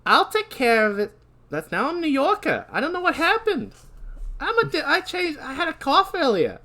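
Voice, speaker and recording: A brash new york lady, super high voice, insistent, comedy read. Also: big pitch swings, moderate pace, pushed and bright, exaggerated voice. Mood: flustered, insistent. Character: brash New Yorker woman, defensive and insistent. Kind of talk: comic script read-through.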